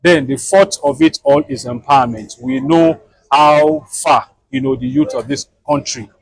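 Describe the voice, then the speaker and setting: Nigerian guy being interviewed, raspy gravelly voice, explains things point by point. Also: a little high, expressive, a little slow, no echo, rough recording. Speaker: theatre project founder being interviewed.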